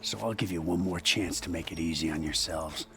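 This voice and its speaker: An actor playing a brash, gruff mercenary salvage boss in a video game cutscene. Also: male voice, deep voice, a little fast, fairly bright tone, soft background music. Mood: mocking. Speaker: mercenary leader.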